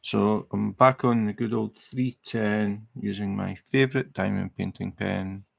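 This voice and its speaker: A middle-aged Scottish man chatting casually on a livestream while he crafts, relaxed and rambling, with many fillers and restarts. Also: on the low side, unhurried, gravelly, no room sound. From Scotland. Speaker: livestream host. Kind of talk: casual chat while crafting, reading viewer comments.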